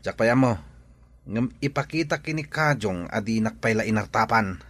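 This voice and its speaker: A man's voice: fairly low pitch, expressive, normal speed, fairly bright tone, no room sound. A man with an Ilocano accent acting as a jealous, hot-headed young jilted son in a radio drama. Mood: bitter. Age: young adult.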